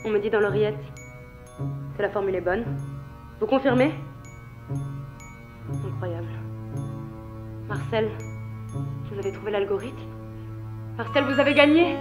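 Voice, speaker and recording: A woman's voice: mid-range voice, unhurried, pauses often, music in the background, natural voice. A woman playing a slick game show host. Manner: bright, theatrical presenter delivery.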